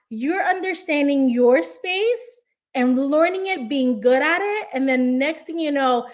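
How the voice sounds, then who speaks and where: A Filipino-accented woman from the Philippines, a real estate investor and coach, giving an earnest, encouraging motivational speech, restarting and repeating phrases as she goes. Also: sits high. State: tired.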